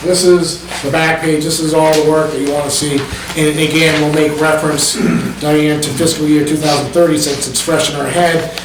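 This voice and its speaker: A man's voice: a little high, little pitch movement, normal speed, hardly any pauses. A man on a planning board walks a committee through a budget plan at a public meeting, explaining page by page with frequent ums. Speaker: planning board member presenting. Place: town meeting room. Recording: echoey meeting room from far off, noisy.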